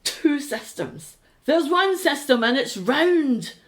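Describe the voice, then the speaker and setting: An actress playing a sarcastic, exasperated middle-aged British wife, practical and sceptical, in a radio play. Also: female voice, sits high, very animated, takes it slowly, full character voice. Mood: incredulous, exasperated.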